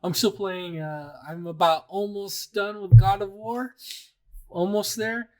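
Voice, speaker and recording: A man with a slightly high voice hosts a casual chat show. He is agreeable and slangy, swears easily and tends to echo back what he hears. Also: steady tone, measured pace. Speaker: podcast host.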